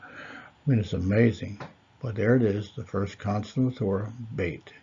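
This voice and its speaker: A man giving a slow, measured Torah lesson, pausing often with fillers. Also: lowish voice, warm tone, husky, highs cut off. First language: not Hebrew. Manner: measured, deliberate, with fillers and pauses. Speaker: Torah teacher. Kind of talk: slow lecture.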